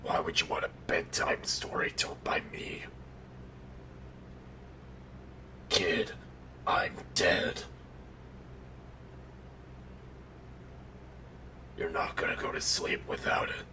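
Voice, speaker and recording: A man voicing an irritable, sardonic undead killer in an animatronic suit, telling a bedtime story in a deadpan, exasperated growl. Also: low-pitched, unhurried, in a whisper, exaggerated voice. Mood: grudging, hushed. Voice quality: gravelly, breathy growl. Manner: deadpan dark humor, exasperated. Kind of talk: in-character bedtime storytelling roleplay. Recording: noticeable background noise.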